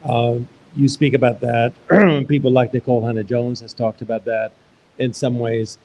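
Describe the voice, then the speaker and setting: A man from Iraq hosts a live onstage conversation, his pitch sitting in the ordinary middle range. He asks questions loosely and thinks aloud, circling back with restarts. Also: flat delivery, moderate pace, a little mellow, poor audio. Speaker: host and interviewer.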